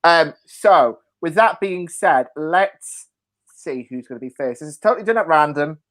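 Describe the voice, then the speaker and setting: A woman hosting a panel game in a playful, excitable, teasing manner. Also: deep voice, normal speed. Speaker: host of a panel show.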